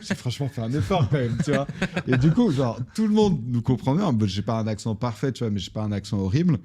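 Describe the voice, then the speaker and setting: A young French man, a guest on a podcast, telling business stories in a casual, animated way with lots of fillers. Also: medium pitch, quick pace, breathy edge. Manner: animated storytelling with many fillers. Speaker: online business founder, guest.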